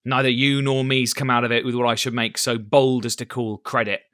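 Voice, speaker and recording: A man voicing a blustering, cunning old one-legged pirate cook who shouts in salty sailor talk. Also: medium pitch, level tone, a little fast, clean tone, no room sound, clean studio sound, lightly acted. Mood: jovial.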